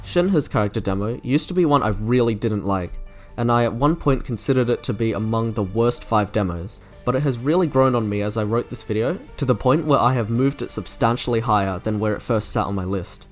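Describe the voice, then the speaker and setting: Young man's scripted commentary over soft music. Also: medium pitch, fairly quick. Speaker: video essayist reviewing game trailers. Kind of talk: scripted narration, opinion commentary. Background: soft game music.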